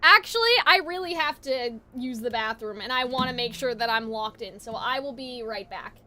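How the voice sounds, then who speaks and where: A woman's voice: on the high side, normal speed, bright, forceful tone. A woman live streamer chatting casually and reacting to a game in an informal, chatty way.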